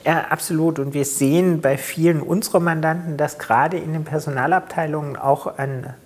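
A man with a cold and a congested voice, co-hosting a business podcast and explaining in a formal, measured way. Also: on the high side, fairly even intonation. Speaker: podcast co-host, consultant.

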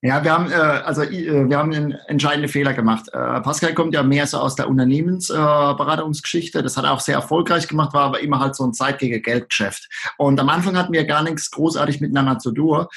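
Male guest, Palatinate German accent, husky, slightly raised pitch, chatty with many fillers. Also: quick pace, a bit bright. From southern Palatinate, Germany. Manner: hesitant, frequent fillers. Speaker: podcast guest. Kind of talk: casual interview chat.

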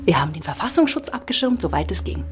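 An actress playing a weary, sharp-tongued federal prosecutor in a radio play, blunt and exasperated. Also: female voice, fairly low pitch, very animated, fast talker, a little hiss or hum.

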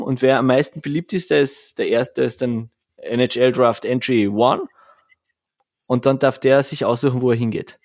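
Man, mid-pitched, playful co-host, jokes and laughs between guesses. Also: no echo, studio quality. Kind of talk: casual chat.

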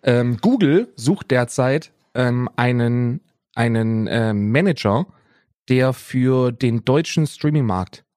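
A man's voice: medium pitch, lively intonation, slow pace, a little mellow, no echo. Young German man, dry close podcast sound. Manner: slangy, emphatic, repeats phrases. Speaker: podcast co-host. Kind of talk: casual unscripted podcast banter.

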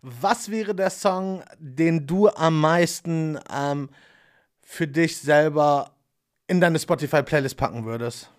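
A man's voice: fairly high pitch, slow pace. A man hosting a casual podcast interview, chatting loosely with lots of fillers and small self-deprecating jokes. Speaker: podcast host.

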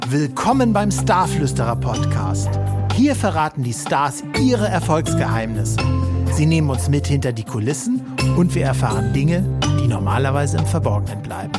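A man hosting a podcast interview, introducing his guest and prompting him with quick, eager interjections. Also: mid-range voice, big pitch swings, over loud music. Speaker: podcast host.

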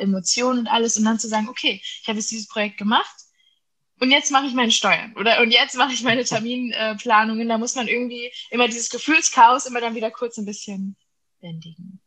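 Woman in her 20s, bright voice, earnest chatty talk, lots of uhs. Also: a little high. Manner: earnest, talkative, many filler sounds. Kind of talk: casual conversation.

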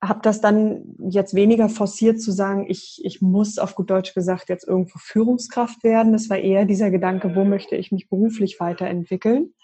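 Woman, from Berlin, middle-aged, husky, warm tone, measured interview talk. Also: mid-range voice, fairly even intonation. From Berlin, Germany. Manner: measured, articulate, occasional fillers. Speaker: guest, leadership coach. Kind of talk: conversational interview.

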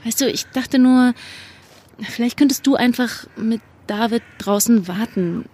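An actress playing a secretive, uneasy young undercover activist in a naturalistic audio drama, speaking casually and hesitantly. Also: female voice, a little high, unhurried, mellow, slightly rough. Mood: nervous, placating. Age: young adult. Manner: hesitant, placating, casual youthful speech. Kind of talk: naturalistic fiction podcast acting.

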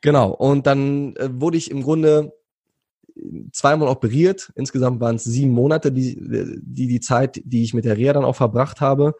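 A man's voice: medium pitch, fairly even intonation, normal speed, warm tone, breathy edge, dry recording. A young man from Berlin, recounting his youth as an interview guest in a rambling way, with many fillers and restarts. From Berlin, Germany. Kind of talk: relaxed conversational storytelling.

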